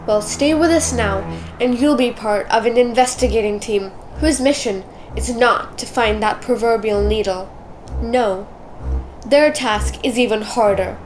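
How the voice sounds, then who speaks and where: Woman narrator, measured, dramatic, slightly high voice, faint hiss. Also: low-quality recording. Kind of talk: scripted narration.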